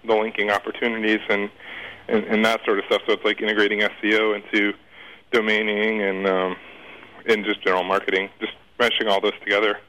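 A man's voice: lowish voice, completely flat delivery, fairly quick, sharp tone, rough recording. A man speaking as a guest in a casual interview, talking in a rambling, hesitant, informal way with some slang.

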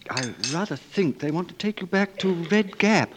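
An actor playing a vague, genial English aristocrat with an upper-class accent, stammering hesitantly in a 1940s radio comedy. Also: male voice, high voice, lively intonation, moderate pace. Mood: hesitant, bumbling. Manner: dithering, stammering, mumbling hesitations. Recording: noisy old 1940s radio recording.

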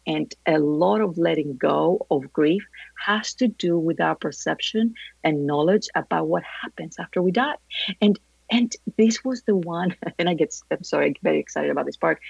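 A woman from Greece answering interview questions, animated and laughing often, with many restarts. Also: sits low, creaky, slightly rough, dry recording, lo-fi sound. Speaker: guest, grief educator.

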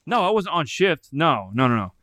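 A man's voice: on the high side, very animated, moderate pace, pushed and bright, dry recording, studio quality. A man co-hosting a podcast, chatting casually and crudely, telling blunt, profanity-laced stories. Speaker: podcast co-host.

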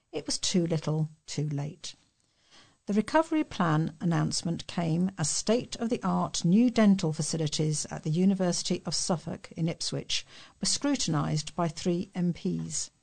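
Creaky, lower-pitched British woman reading news. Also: mellow. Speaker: volunteer news reader for a talking newspaper. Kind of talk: read-aloud local news.

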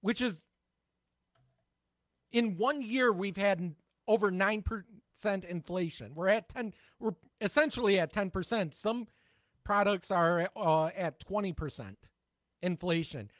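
A man co-hosting a political talk podcast in a high, fairly light voice. He speaks bluntly and mocks what he hears, swearing freely. The recording is dry and close. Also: unhurried, no echo. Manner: blunt, profane, sarcastic commentary. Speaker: podcast co-host. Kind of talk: casual political chat.

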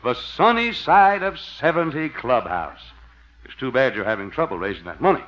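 An actor playing a flattering, folksy con man of a salesman in a 1950s radio comedy, ingratiating in manner. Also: medium pitch, normal speed. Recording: dull lo-fi old radio recording.

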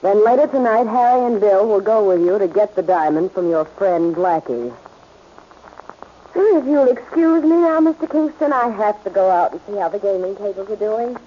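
An actress playing a shrewd, poised gambling-house boss, smooth, polite and commanding, in 1940s radio acting style. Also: female voice, medium pitch, animated, normal speed, close to an everyday voice. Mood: smoothly gracious. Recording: dull, noisy old radio recording.

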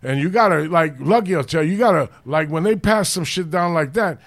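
A middle-aged man from Los Angeles with a deep, loud voice, telling blunt street stories full of swearing in a casual chat. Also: expressive, a little fast. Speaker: podcast co-host.

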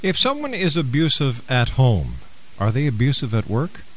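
A man's voice: mid-range voice, wildly swooping pitch, normal speed. A man hosting a radio interview, putting brief, direct questions and giving short acknowledgements. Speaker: radio show host, interviewer.

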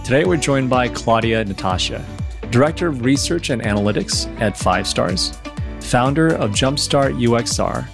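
A man's voice: mid-range voice, animated, moderate pace, gravelly, over music. A man hosting a podcast, asking questions with enthusiasm. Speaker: podcast host. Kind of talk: podcast interview.

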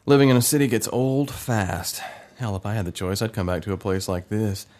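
A man's voice: on the low side, a little fast, darkish tone, natural voice. An actor playing a young adult man who narrates memories of his childhood in a wistful, conversational way. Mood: reflective. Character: grown man recalling his childhood, thoughtful. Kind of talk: reflective dramatic narration.

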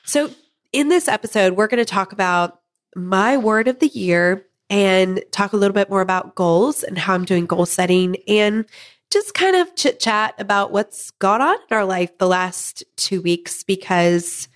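A woman in her thirties hosting a podcast with her husband, her voice hoarse from a head cold, chatting casually and laughing now and then. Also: mid-range voice, lively intonation, a little slow. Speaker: podcast host.

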